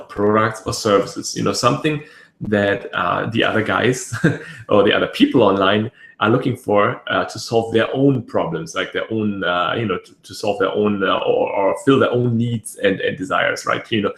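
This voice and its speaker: A man with a raspy voice hosting a casual webinar, rambling with many fillers and restarts. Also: lowish voice, fairly bright tone. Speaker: webinar host. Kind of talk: casual live webinar talk. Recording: echoey room.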